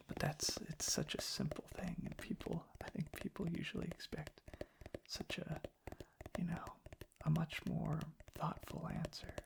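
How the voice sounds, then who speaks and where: An ASMR host speaking softly in a hushed, reflective, unhurried ramble. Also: medium pitch, flat delivery, vocal fry. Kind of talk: soft-spoken ASMR ramble.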